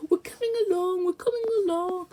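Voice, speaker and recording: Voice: very high voice, a little fast, mellow, pitched above the performer's own voice. A young-sounding reader voicing sweet, worried old female railway coaches from a storybook in a plaintive, repetitive sing-song. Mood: singing happily.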